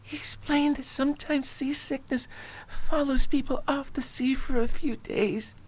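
A woman's voice: high-pitched, fairly even intonation, measured pace, low-quality recording. A woman playing a sickly, frightened boy lord in a radio drama, pleading and anxious, sometimes whispering. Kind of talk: amateur radio drama acting.

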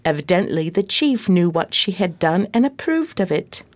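An earnest, wide-eyed female sidekick, played by a woman in a period detective radio play. Her pitch swings freely as she narrates formally, and the sound is close and dry. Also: normal speed, dry recording. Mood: narrating. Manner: expressive, formal storytelling.

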